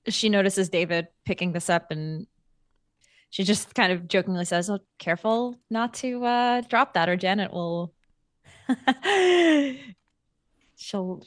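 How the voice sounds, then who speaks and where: Unhurried woman roleplaying at a game table. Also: mid-range voice, dry recording, studio quality. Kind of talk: casual improvised roleplay, slipping between narration and dialogue.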